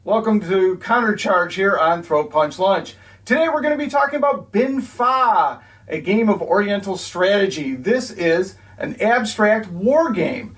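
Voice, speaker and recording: A man with a high voice hosts a board game review, loose and easygoing, with plenty of fillers as he talks. He sounds some way off from the microphone. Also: expressive, a little slow, fairly bright tone. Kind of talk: casual on-camera review intro and wrap-up. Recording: voice picked up from a distance.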